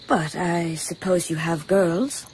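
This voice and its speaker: A woman's voice: on the low side, takes it slowly, a little mellow, slight background noise, poor audio. A woman playing a feisty, curious young island woman in a radio drama, direct and outspoken. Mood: soft, curious.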